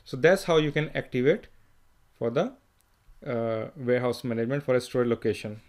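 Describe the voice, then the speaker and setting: A man walking through a screen-recorded software tutorial, plainly explaining each step with fillers and self-corrections. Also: medium pitch, moderate pace. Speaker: software trainer.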